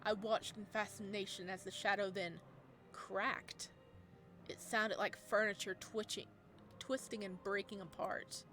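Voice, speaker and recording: A woman who streams games, reading a visual novel aloud and breaking off for excitable commentary. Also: mid-range voice, a little slow, soft background music. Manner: excitable, laughs at her own voice acting. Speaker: game streamer.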